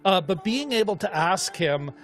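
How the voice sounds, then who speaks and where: A man co-hosting a film discussion show, chatting casually in a rambling, animated way as he thinks aloud. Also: high voice, normal speed, faint music behind. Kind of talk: casual chat.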